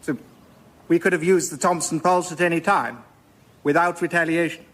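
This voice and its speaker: A man's voice: on the high side, fairly even intonation, normal speed, fairly bright tone. An actor playing a flustered, pedantic Cold War negotiator in radio sketch comedy, hesitant and emphatic as he bickers. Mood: flustered, insistent.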